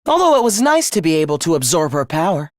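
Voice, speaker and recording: A man's voice: fairly high pitch, sing-song, fast talker, no room sound, clean studio sound. A man playing a contemptuous, human-hating young villain in a video game, theatrical and scheming in his delivery. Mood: smug, coldly confessing. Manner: theatrical, contemptuous, swinging from hushed menace to shrieking. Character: young male traitor, genocidal, gloating, human-hating schemer. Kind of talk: video game voice acting, villain confession monologue.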